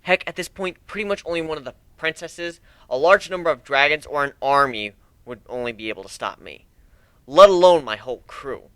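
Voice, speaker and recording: A cocky griffin pirate captain, acted by a man, with lively intonation and a bright edge. He is jaded, sardonic and used to giving orders in a fantasy audio story. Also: on the high side, brisk, clear, resonant tone, dry recording. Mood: stern, jaded. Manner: lazy, sardonic, commanding.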